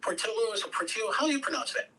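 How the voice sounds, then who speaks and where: A man's voice: high voice, expressive, rapid-fire, pushed and bright. A man talking casually as he directs and hosts an online table read, giving instructions and announcements. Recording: distant mic with faint background noise.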